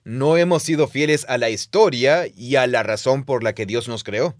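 A man co-hosting a relaxed teaching conversation, animated and quick to laugh. His voice sits at a middle pitch. The sound is dry and close, with no room around it. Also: no echo. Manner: animated explainer, laughs easily. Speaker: podcast co-host, Bible teacher.

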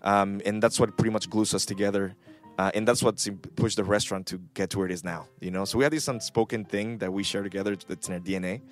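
Quick-talking chef guy from mexico, lowish voice, music under it. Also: fairly even intonation. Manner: quick, emphatic, repetitive. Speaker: chef guest. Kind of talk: interview answer.

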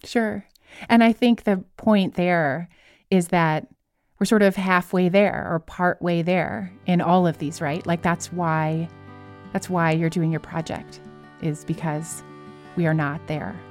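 A woman's voice: on the low side, fairly even intonation, slow pace, darkish tone, soft background music. A woman hosting a podcast, talking in a reflective style. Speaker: podcast host.

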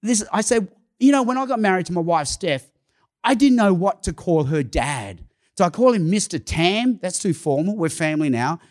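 A man whose first language is Cantonese preaches in a high, lively voice. He is middle-aged and tells stories, acting out both sides of a conversation. He swells with rising emphasis. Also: moderate pace. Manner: animated, acts out dialogue with rising emphasis. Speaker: pastor. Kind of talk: sermon storytelling.

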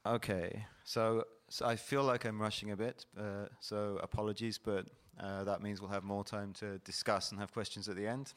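A man's voice: on the low side, flat delivery, normal speed, few pauses. A middle-aged man hosting a wine panel, explaining the wines in a descriptive, explanatory way. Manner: explanatory, descriptive tasting talk. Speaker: panel host. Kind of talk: wine tasting presentation. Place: event hall at a wine fair.

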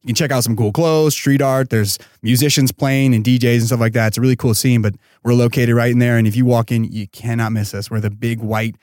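A man's voice: medium pitch, fairly even intonation, a little fast, few pauses, a little mellow, no room sound, clean studio sound. A man speaking as a startup founder and podcast guest, in casual, enthusiastic, slangy talk peppered with "like" and "you know.".

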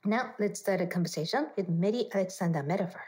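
A woman's voice: lowish voice, fast talker, darkish tone. A woman presenting as a podcast host, moving between a prepared introduction and conversational interview questions. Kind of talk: radio interview with scripted introduction.

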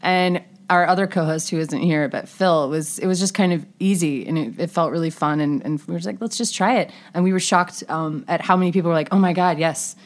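A woman's voice: lowish voice, brisk, few pauses. A woman from the Carolinas chatting casually as a podcast guest, with lots of fillers and laughter. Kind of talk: casual chat. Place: studio.

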